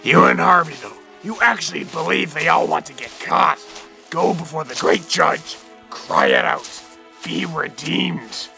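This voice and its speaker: A man doing a gravelly taunting scarred villain. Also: on the high side, very animated, pushed and bright, soft background music, full character voice. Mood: brooding. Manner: menacing, drawn-out taunting. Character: scheming scarred male villain, taunting and cruel. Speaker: voice actor. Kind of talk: fan radio drama acting.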